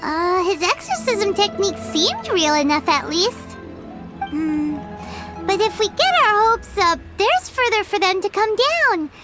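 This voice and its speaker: A woman voicing a tiny, childlike, chirpy and inquisitive fairy companion in a video game, with a squeaky voice. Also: sing-song, measured pace, heavily acted. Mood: brisk, perky. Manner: refers to self in third person, exclamatory. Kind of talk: video game character dialogue. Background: music.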